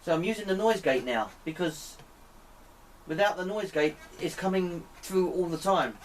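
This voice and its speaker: A man speaking in deliberate, pleading short phrases, putting questions to a spirit as an amateur experimenter. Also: sits high. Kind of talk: slow spoken questions addressed to a spirit. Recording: distant mic, clear background noise.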